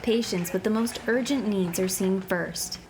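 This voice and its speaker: A woman narrating an interactive children's book in a clear, explanatory way, slowing down to spell out medical terms. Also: mid-range voice. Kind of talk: scripted read-aloud narration for kids. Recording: clear background noise.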